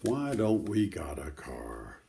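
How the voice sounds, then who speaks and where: A man's voice: low voice, sing-song, takes it slowly, warm tone, a little hiss or hum, voice pushed down, heavily acted. Man reading as a plaintive, curious young boy. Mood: puzzled, plaintive. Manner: plaintive questioning. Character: young boy, curious and complaining, storybook character.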